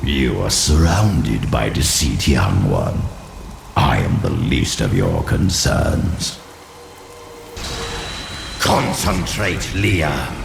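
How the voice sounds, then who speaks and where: An actor playing an ancient, power-hungry male mage in a video game cutscene, with slow, grandiose villain delivery. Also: extremely low pitch, sing-song, background noise, full character voice. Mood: menacing. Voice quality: gravelly, theatrical. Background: game music.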